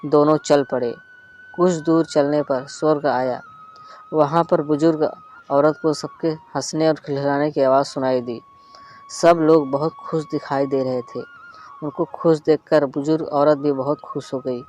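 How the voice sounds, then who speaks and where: A man narrating a moral story in an earnest, measured reading. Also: a little high, steady tone, darkish tone, a little hiss or hum. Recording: faint flute music behind.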